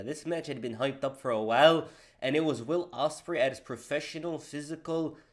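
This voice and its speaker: A man streaming live, reacting to a wrestling video and reading out donations in loose, slangy chatter. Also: mid-range voice. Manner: loose slangy chatter, says bro a lot.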